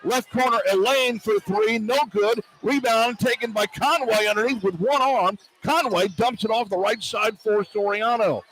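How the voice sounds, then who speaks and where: An American man doing excitable, partisan live radio play-by-play of a basketball game as the announcer. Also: high-pitched, moderate pace, few pauses. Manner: excitable, partisan toward the home team. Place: courtside in a basketball arena. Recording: noisy live arena radio broadcast. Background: crowd and arena noise.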